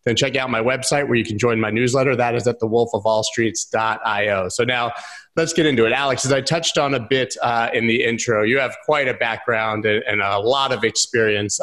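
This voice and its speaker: A man's voice: mid-range voice, a bit bright. A man in his thirties hosting a podcast over a video call, reading an upbeat intro and asking questions. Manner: upbeat, reads plugs smoothly. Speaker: podcast host. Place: bright room at home.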